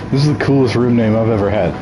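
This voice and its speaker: A young man with a nasal, under-the-weather voice, joking to his stream and stumbling over his words. He talks slowly, with very lively pitch that leaps as he laughs. A noisy home mic adds a steady hiss behind him. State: ill. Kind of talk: casual game stream chatter.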